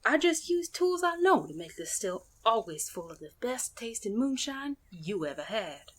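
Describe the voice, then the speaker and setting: A woman's voice: a little high, sing-song, moderate pace. A woman playing a folksy, playful and mysterious Cajun healer in an audio drama, drawling. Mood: mysterious, assured.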